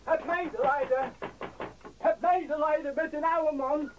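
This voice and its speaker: Radio-drama hero, male: resolute hunted adventurer, high-pitched, urgent and commanding, faintly noisy recording. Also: fairly even intonation, measured pace, lo-fi sound. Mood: pleading. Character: Dimitri, resolute hunted brother-adventurer who poses as beggar. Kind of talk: Dutch radio play acting.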